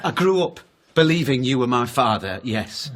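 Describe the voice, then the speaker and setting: A man's voice: medium pitch, animated, moderate pace, fairly bright tone, close to an everyday voice. An actor in a naturalistic radio drama playing a hesitant, wounded man of thirty, apologetic and conciliatory. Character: hesitant, wounded thirty-year-old son seeking his father.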